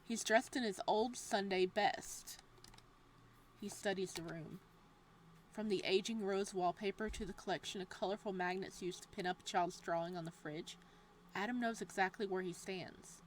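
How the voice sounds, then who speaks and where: A woman reading a horror visual novel's text aloud in a hushed, steady voice. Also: medium pitch, moderate pace, slight background noise, low-quality recording.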